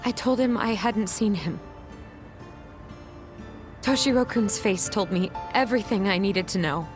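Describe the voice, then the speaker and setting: A woman's voice: mid-range voice, a little slow, natural voice. Guarded young schoolteacher, played by a woman, earnest and burdened by guilt, video game drama, mid-range voice, music underneath. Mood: somber, confessional. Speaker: English teacher. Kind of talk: dramatic game cutscene acting.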